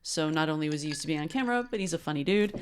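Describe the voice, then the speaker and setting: A woman's voice: low voice, expressive, rapid-fire, a little hiss or hum. A woman from the United States co-hosting a marketing podcast in a playful, casual chat, laughing often. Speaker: podcast co-host.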